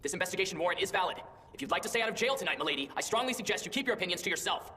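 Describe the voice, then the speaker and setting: Sharp, rapid-fire officious investigator. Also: male voice, sits high, lively intonation, in a large hall, far from the mic, a little hiss or hum. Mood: officious, warning. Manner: formal, officious. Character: officious, politely threatening investigator. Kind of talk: anime dub acting.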